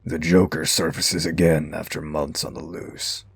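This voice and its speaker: A man's voice: rumbling low, a little slow, gravelly, slightly rough, no room sound, exaggerated voice. A man playing a grim, brooding masked vigilante, giving a terse inner monologue in a comic dub. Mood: grim watchfulness. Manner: terse, monotone.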